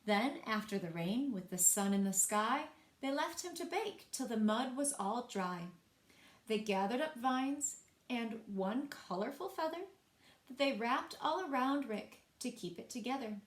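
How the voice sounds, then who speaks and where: A woman's voice: a little high, expressive, measured pace. A woman reading a children's picture book aloud as a storyteller, expressively and with asides to young listeners. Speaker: library storyteller. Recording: roomy, echoing sound.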